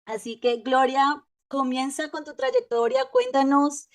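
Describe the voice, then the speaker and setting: A woman hosting a podcast, welcoming and interviewing a guest, speaking at times haltingly with drawn-out pauses. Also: high voice, no room sound, recorded from a distance. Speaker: podcast host.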